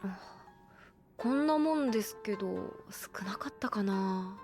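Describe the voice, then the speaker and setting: A woman's voice: mid-range voice, animated, unhurried, music in the background. An actress playing an easygoing young female college student, casually polite and a little wry, in a Japanese anime audio drama. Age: young adult.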